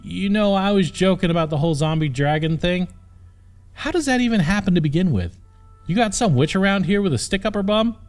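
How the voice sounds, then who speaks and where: A cocky, bumbling hero knight acted by a male reader, breezy and casual. The delivery is lively and fairly high for a man, full of flippant wisecracks. Music plays underneath this comic fantasy audiobook. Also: fairly quick. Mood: joking.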